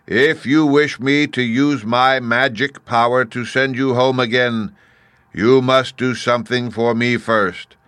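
A great, stern wizard played by a man speaks as a giant talking head from a storybook. The voice is loud and raised in pitch, with slow, weighty commands. Each phrase lands with emphatic authority, in a very dry recording. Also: steady tone, clean tone, no echo, close to an everyday voice. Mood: imperious. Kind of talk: storybook character voice.

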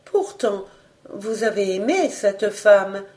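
A woman reader voicing a gentle, sensitive and grave young woman in an audiobook, tender and earnest in manner. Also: mid-range voice, animated, slow. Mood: timid.

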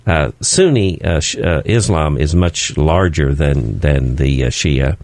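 Deep low guy on a radio talk show, explaining things slow with lots of uhs, dry studio sound. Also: a little mellow, no echo. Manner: slow, halting, many "uh" fillers. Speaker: studio guest.

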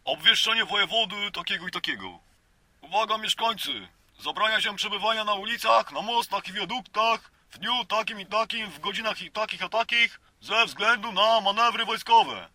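Man putting on a high, forceful official announcer. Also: animated, normal speed, no echo, full character voice. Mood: stern, commanding. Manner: declamatory, officious, commanding. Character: stern official reading out a provincial public warning proclamation. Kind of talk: mock public announcement.

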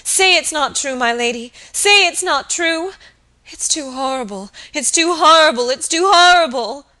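A woman's voice: high voice, lively intonation, measured pace, sharp tone, pitched above the performer's own voice, exaggerated voice. A woman voicing a hysterical young servant woman in an audiobook drama, sobbing, gasping and pleading in terror. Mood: horrified, pleading.

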